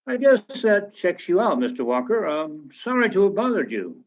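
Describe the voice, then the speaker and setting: An actor playing a businesslike investigator in a radio drama, questioning politely and giving little away. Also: male voice, high-pitched, sing-song, normal speed, dull audio. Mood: apologetic, evasive. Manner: probing, politely noncommittal. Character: businesslike, evasive male investigator following orders.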